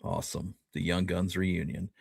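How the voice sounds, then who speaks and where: A man's voice: deep voice, fairly even intonation, normal speed, creaky, slightly rough, dry recording, studio quality. A man hosting a show in casual, friendly conversation with his guests. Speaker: show co-host. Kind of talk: casual chat.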